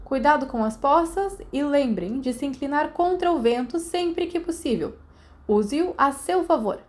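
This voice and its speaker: Woman acting a witch teacher, high voice, loud, brisk, commanding, audiobook character. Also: animated. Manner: loud, barking orders. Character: stern silver-haired witch flying teacher, brisk and commanding.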